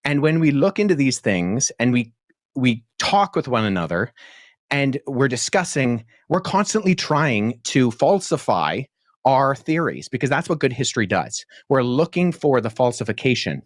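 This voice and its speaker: A young man speaking as a debate guest, earnest and articulate, laying out his reasoning at a measured pace with frequent fillers. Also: mid-range voice, very animated, no room sound, clean studio sound. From born in Pakistan, childhood in Jordan. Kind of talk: podcast debate answer.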